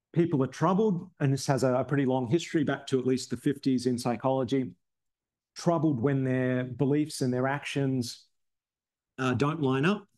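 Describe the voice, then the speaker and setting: A man giving an academic seminar talk, explaining ideas in a halting way with many ums and restarts, his voice a little strained from losing it recently. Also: mid-range voice, a little mellow. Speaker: philosophy researcher presenting a seminar talk.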